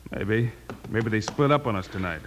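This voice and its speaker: Actor as commanding cowboy marshal, noisy old radio. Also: male voice, lowish voice, animated, normal speed, lightly acted. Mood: grim, thoughtful. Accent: American. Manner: terse, commanding. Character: heroic, steady, commanding cowboy U.S. marshal on horseback. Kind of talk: 1950s radio western acting.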